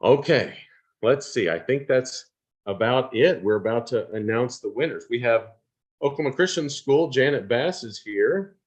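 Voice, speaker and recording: A man's voice: medium pitch, animated, moderate pace. A man hosting an online award announcement, speaking off the cuff in a folksy, appreciative way. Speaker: award program host. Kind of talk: unscripted announcing at an online event.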